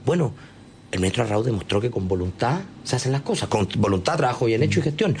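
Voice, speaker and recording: A man's voice: mid-range voice, expressive, fast talker, a little mellow, a little hiss or hum. A man with a Chilean accent hosting a political talk show, steering the discussion. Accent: Chilean Spanish.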